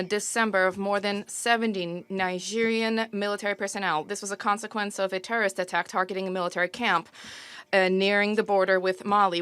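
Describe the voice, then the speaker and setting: A woman interpreting a formal diplomatic statement live, in a halting, stop-and-start delivery with self-corrections. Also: medium pitch, fairly bright tone, clear, resonant tone. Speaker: simultaneous interpreter.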